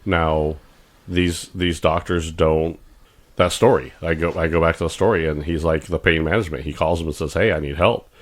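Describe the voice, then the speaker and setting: A man's voice: a bass voice, normal speed. A middle-aged man co-hosting a podcast in casual chat, rambling with many restarts and acting out doctors as he retells them. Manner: rambling, repeats words and restarts, animated when retelling doctors. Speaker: podcast co-host.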